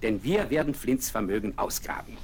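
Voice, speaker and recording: A man voicing a self-assured middle-aged nobleman who talks with a lively, swinging pitch. He gives orders with keen enthusiasm in a 1970s radio play with faint vinyl hiss. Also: normal speed, close to an everyday voice. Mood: eager. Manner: commanding, enthusiastic planner.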